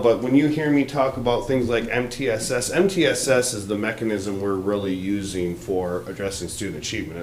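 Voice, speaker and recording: A man with a fairly low, loud voice gives a plainspoken report as a superintendent. He speaks in an echoey meeting room, and there is a faint hiss behind him. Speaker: school superintendent. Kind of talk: public meeting presentation. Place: township board meeting room.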